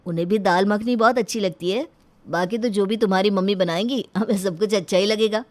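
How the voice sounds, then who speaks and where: A woman's voice: mid-range voice, brisk, close to an everyday voice. Woman doing young husband in a cartoon, easygoing, agreeable, affable. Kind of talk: animated family story dialogue.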